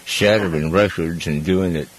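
A man with a very low voice hosting a radio call-in show. He talks in a relaxed, rambling way, trailing off and starting his sentences over. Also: normal speed, rough recording. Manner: easygoing, rambling, with restarts. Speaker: radio host. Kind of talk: casual phone-in chat.

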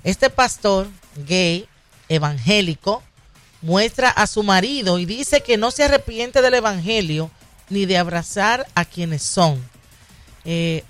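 A woman co-host reading a news item aloud in a measured, announcing way. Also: medium pitch. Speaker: radio co-host.

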